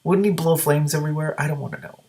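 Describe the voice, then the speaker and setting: A man reading a book aloud and breaking in with excitable, sometimes profane reactions. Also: a little high, fast. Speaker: reader and commentator.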